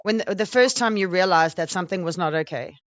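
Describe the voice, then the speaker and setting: A woman's voice: lowish voice, quick pace, dry recording. Dry, slightly muffled woman interviewer. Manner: warm, probing questions. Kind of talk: empathetic sit-down interview.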